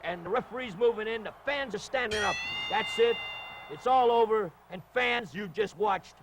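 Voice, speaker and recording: A man calling wrestling as an excited commentator, with hyperbolic, exclamatory showman patter. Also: sits high, moderate pace, background noise.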